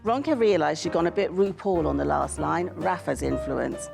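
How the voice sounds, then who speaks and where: A woman author presenting and reading fiction in a scripted style, with measured, expressive phrasing. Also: sits low, gravelly. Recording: music bed under the voice. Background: music.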